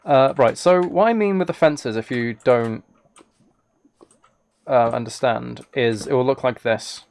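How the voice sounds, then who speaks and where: A man's voice: mid-range voice, a little slow, vocal fry, darkish tone. A man live streaming a game, rambling and thinking aloud as he chats casually with viewers. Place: indoors at a computer, keyboard clicks behind.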